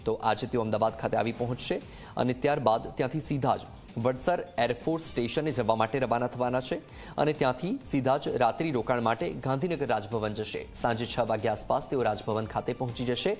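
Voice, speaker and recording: A man's voice: medium pitch, brisk, darkish tone. A man presenting a live news bulletin as anchor, restating points as he goes. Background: music bed under the voice.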